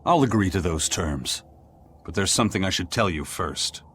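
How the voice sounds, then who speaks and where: Very deep, grim pirate. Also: male voice, expressive, fairly bright tone, a little hiss or hum. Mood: grave warning. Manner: measured, blunt, ominous. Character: grim, calm, fatalistic pirate man. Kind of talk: English video game voice acting.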